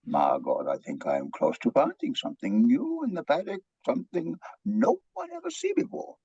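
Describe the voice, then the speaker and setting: An eccentric foreign psychiatrist for a stage comedy, pompous and dramatic. The voice is high and gravelly, leaping all over its range, with stilted, earnest phrasing. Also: male voice, very animated, normal speed, mellow, no echo, heavily acted. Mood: grave, fervent. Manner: stilted grammar, theatrical, pompous. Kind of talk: comic stage play reading. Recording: dull lo-fi microphone sound.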